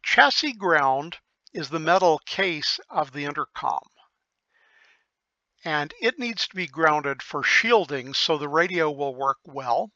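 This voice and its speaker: A man with a fairly high, bright voice walks through technical material very slowly and methodically, pausing to think aloud. The sound is close and dry, though a little dull and lo-fi. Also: animated, no echo. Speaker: electronics repair hobbyist presenter. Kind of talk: informal technical explanation of a circuit diagram.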